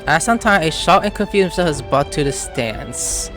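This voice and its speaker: A man's voice: fairly high pitch, expressive, moderate pace. A man giving gameplay commentary, reacting as he plays and reading text aloud. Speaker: let's play streamer. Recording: game music behind the voice.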